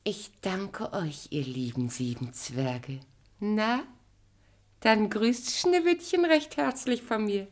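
A woman's voice: medium pitch, expressive, slow pace. A woman playing a scheming evil queen who poses as a sweet pedlar with sly, sugary politeness in a children's radio play. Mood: falsely friendly.

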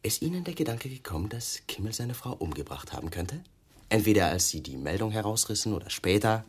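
Needling detective, restlessly swooping voice. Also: male voice, fairly low pitch, sing-song, brisk, husky. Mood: insinuating. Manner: insinuating, mock-polite, probing. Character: pushy, needling male police detective, slyly insinuating. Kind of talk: German radio crime drama acting.

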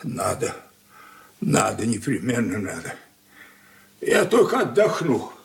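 An ailing, brooding old patriarch in a Soviet radio drama. He speaks very slowly in broken, weary phrases, his pitch swinging widely as he grows confused. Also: huge pitch swings, creaky, heavily acted. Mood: confused, insistent. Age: older. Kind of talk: Soviet radio play acting.

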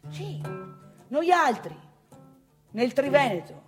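A woman acts out a cocky provincial bar-goer from northeastern Italy in a stage comedy monologue. The voice is very high for a man, with faint music around it. The talk is grumbling and colloquial, full of boasts and repeated phrases thrown straight at the listeners. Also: big pitch swings, slow pace, full character voice. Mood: mocking, boastful. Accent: northeastern Italian. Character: brash, grumbling provincial young man chasing women in bars. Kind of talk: theatrical comic monologue.

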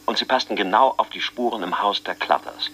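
A tired middle-aged detective, brisk, matter-of-fact, low bright voice. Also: male voice, breathy edge. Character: weary, determined middle-aged male detective.

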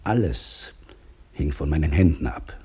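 Uneasy learned man telling his story in a radio play, deep husky voice, takes his time, measured. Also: expressive, warm tone, full character voice. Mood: quiet dread. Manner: measured, reflective, dropping to near whisper. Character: anxious geology professor starting over as a dam labourer. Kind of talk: German radio play first-person narration.

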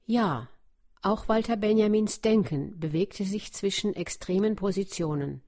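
A woman's voice: mid-range voice, measured pace, warm tone. A woman reading a scripted documentary narration.

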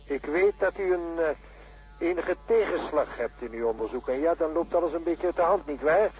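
An actor playing a condescending, evasive professor in a radio play, talking in a pedantic, formal and patronising way. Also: male voice, fairly high pitch, expressive, normal speed, highs cut off, natural voice. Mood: condescending, defensive. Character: smooth-tongued, condescending, evasive male professor of seismology.

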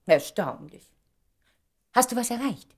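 A woman acting in a German radio drama as a proud, sharp-tongued stage actress, haughty and theatrical in manner. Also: a little high, expressive, a little slow, husky, full character voice. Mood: impatient, knowing. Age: around 30.

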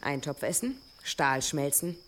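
A brisk, practical craftswoman in a medieval radio play. She talks dryly and matter-of-factly in a lowish voice. Clear clicking noise is heard behind her. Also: animated. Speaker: actor in an audio drama. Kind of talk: German radio drama acting.

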